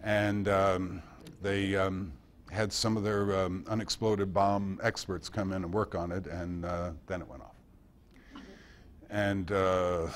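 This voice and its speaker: A man giving an informal history lecture at a club meeting in a halting manner, recorded by an amateur. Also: low-pitched, a little hiss or hum. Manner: halting, with pauses and quoted passages read aloud. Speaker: guest speaker at a club meeting.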